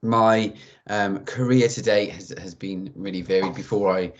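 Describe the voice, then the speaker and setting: A Welsh man with a very deep voice gives an informal talk on a video call. He pauses often with ums, and the audio is dull and lo-fi. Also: steady tone. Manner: hesitant, frequent ums. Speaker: webinar speaker. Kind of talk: informal presentation.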